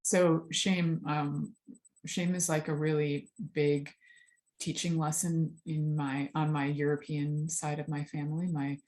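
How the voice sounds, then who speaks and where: A woman's voice: low-pitched, little pitch movement, a little slow, a little mellow. A woman hosting a podcast, talking candidly and casually on her own, with many fillers. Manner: candid, frank, chatty with filler words. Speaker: podcast host. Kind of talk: casual solo talk.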